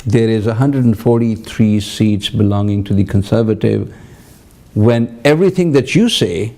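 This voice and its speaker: Male TV interviewer, low gravelly voice, emphatic questioning. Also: mellow. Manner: emphatic, drawn-out questions with numbers. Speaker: TV host.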